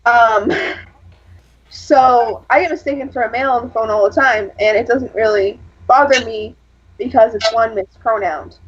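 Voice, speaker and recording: A woman with a husky, fairly low voice chatting casually, rambling through personal stories with lots of fillers. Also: unhurried. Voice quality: husky, lower than average for a woman. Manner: rambling, storytelling with frequent fillers. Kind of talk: casual chat. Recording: lo-fi dull sound.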